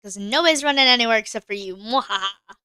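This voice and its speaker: A woman's voice: a little high, quick pace, pushed and bright, smooth, dry recording, studio quality. A woman streamer chatting casually while she plays a game, thinking aloud and answering her chat. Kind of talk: casual live-stream gameplay commentary.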